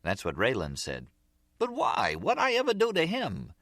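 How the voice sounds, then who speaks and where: Man acting a rattled, bitter fugitive, swooping pitch. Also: huge pitch swings, moderate pace, sharp tone, dry recording, clean studio sound, full character voice. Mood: anxious, baffled. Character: rattled, bitter male fugitive Harry, hunted by mobsters.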